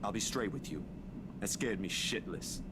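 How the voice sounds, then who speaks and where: A man voicing an easygoing, protective young man in a visual novel, talking in a casual, gently teasing way. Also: on the low side, animated, normal speed, heavy background noise, natural voice. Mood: troubled, serious.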